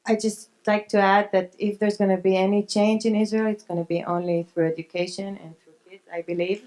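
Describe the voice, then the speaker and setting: A woman on a panel adding her view in a quiet, conversational way, using everyday examples. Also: mid-range voice, level tone, a little fast. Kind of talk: answering on a panel Q&A.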